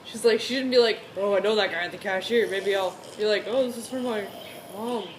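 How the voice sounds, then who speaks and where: A woman's voice: mid-range voice, brisk, a little hiss or hum, poor audio. A woman chatting casually as a co-host, making sarcastic, incredulous comments on a reading. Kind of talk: casual joking chat.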